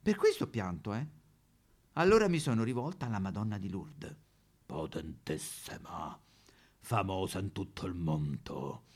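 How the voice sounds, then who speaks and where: A man's voice: low voice, expressive, unhurried, a little mellow, husky, heavily acted. Man playing a swooping, self-pitying Roman Madonna statue. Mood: aggrieved, self-pitying, then resentful, sardonic. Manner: melodramatic, plaintive, sudden swoops into wailing. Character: aggrieved neglected female courtyard Madonna statue, self-pitying, vain. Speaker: comic actor. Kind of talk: satirical theatrical monologue.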